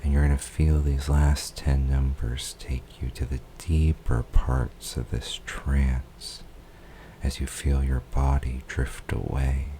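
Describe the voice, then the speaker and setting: A man guiding a hypnosis countdown in a soft, soothing voice, drawing words out and slipping into whispers. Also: a bass voice, little pitch movement, slow pace, slight background noise. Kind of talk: slow guided hypnosis countdown.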